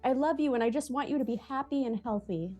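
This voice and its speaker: A woman's voice: high voice, fast talker, dark tone, lo-fi sound, soft background music. A woman playing a worried, supportive wife, speaking earnestly and tenderly in a script read. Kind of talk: live table read of a movie script.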